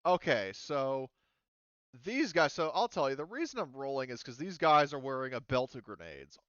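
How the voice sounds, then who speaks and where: A man running a tabletop roleplaying game as game master, talking casually and haltingly as he thinks through the rules aloud. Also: medium pitch, very animated, fairly bright tone, no echo, dull audio. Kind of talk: casual tabletop game refereeing over online session.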